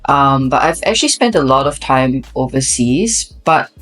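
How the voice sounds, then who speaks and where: A young woman from Singapore with a Singaporean English accent, chatting in a relaxed, self-deprecating way on a podcast. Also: extremely low pitch, moderate pace. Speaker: podcast co-host. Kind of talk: casual podcast chat.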